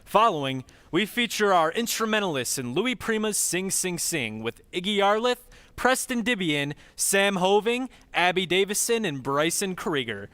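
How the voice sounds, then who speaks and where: Bright, lively male radio announcer. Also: high-pitched, normal speed, smooth, no room sound. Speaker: show announcer. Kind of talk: scripted old-time radio announcing.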